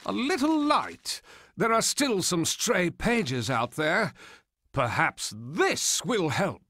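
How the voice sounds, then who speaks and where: Game dolphin guardian: composed, benevolent, slow and creaky, grandly theatrical. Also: male voice, high voice, big pitch swings, pushed and bright, dry recording, clean studio sound, heavily acted. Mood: grand, kindly. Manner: theatrical, deliberate delivery. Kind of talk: video game cutscene voice acting.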